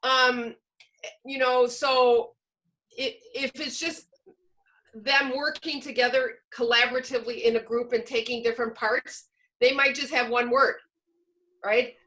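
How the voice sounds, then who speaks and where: A woman trainer with a fairly high, bright voice runs an online workshop. She projects loudly and explains things in an informal, encouraging way. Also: steady tone, measured pace, pauses often, low-quality recording. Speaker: workshop presenter, teacher trainer. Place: online video call.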